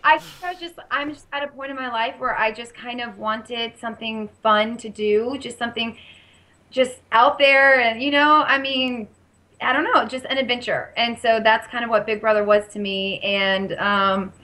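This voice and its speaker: A woman with a raspy voice, chatting eagerly as a podcast guest and telling her story with quoted asides. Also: fairly high pitch, fairly even intonation, normal speed, sharp tone. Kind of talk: casual podcast interview.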